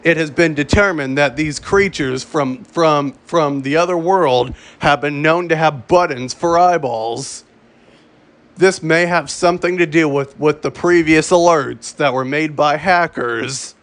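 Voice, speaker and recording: A man reading a scripted mock emergency broadcast as an official announcer, halting and repeating phrases as he goes. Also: fairly high pitch, fairly bright tone.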